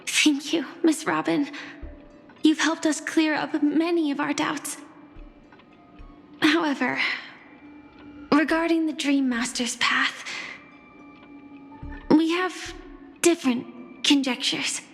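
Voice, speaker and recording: A sweetly high-pitched girl from a game, talks politely and earnestly. Her gentle manner has a quiet resolve, and her words ring in long reverb over music. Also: steady tone, slow, vocal fry, long pauses, full character voice. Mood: sincere, resolute. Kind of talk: video game character dialogue.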